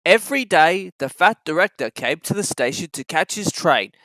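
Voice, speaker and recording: A children's storybook narrator with a bright, forceful voice and very lively intonation. The reading is scripted and sounds close and dry. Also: medium pitch, moderate pace, no echo.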